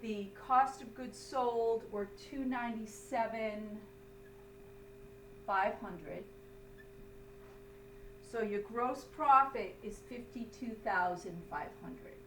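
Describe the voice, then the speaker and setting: A woman's voice: on the high side, takes it slowly, pauses often. A woman instructor explaining a lesson step by step in an emphatic, didactic way, often checking understanding. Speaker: accounting instructor. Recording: roomy, distant mic, faint hum noise.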